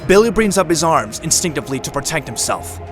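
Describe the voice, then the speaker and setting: A man's voice: on the high side, sing-song, fast. A man reading scripted action narration for an audio drama. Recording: loud music bed. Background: loud music.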